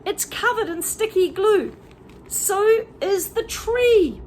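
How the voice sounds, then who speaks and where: A woman voicing a group of caged monkeys shouting a warning in a storybook reading. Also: expressive, slow, a little hiss or hum, heavily acted. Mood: urgent. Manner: shouted, squeaky.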